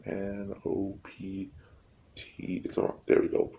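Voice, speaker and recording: A man hosting a casual tutorial, speaking informally and haltingly, often repeating himself. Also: low-pitched, monotone, gravelly, lo-fi sound. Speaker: tutorial host. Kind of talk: casual screen-recording tutorial. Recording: noisy recording with clicks.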